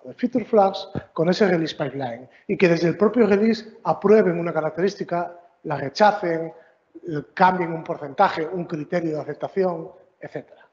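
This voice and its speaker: A man with a high voice explains technical ideas to a room. He checks in with listeners as he talks. The recording is dull, with faint background noise and a long hall echo. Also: moderate pace, a little mellow. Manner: explanatory, frequent filler checks. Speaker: meetup speaker. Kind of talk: technical conference talk. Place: large meeting room.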